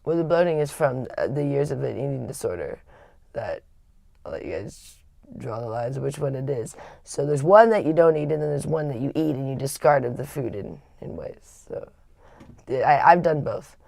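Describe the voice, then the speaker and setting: A woman with a low, raspy voice and a gravelly edge. She talks candidly and wanders as she tells her story, often stopping to restart a sentence. The sound is dry and close. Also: expressive, normal speed, darkish tone, no room sound. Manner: rambling and confiding, with frequent restarts. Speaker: livestream host.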